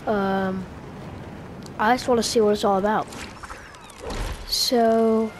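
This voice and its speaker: A young woman who is sick, coughing and sniffling, giving casual gameplay commentary with hesitant pauses and many fillers. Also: medium pitch, fairly even intonation, slight background noise. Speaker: gaming YouTuber.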